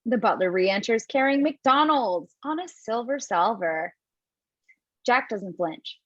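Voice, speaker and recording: A woman's voice: on the high side, animated, takes it slowly, a bit bright, dry recording. A woman reading the stage directions of a script in an online table read, in a plain, matter-of-fact way. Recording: dry, dull home mic.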